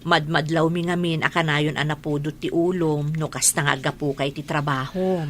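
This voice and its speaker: A woman's voice: sits low, steady tone, normal speed, slight background noise, natural voice. A woman acting a patient, caring middle-aged mother and wife in an Ilocano radio drama, with a pleading, emotive delivery and an Ilocano Filipino accent. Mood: careful.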